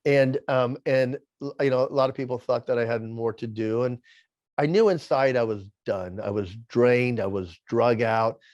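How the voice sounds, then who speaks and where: A man's voice: medium pitch, normal speed, darkish tone, no echo. A man in his sixties with a lisp, a podcast guest telling his life story in a rambling way, often repeating words and restarting sentences. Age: 60s. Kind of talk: casual storytelling interview. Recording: dull lo-fi dry sound.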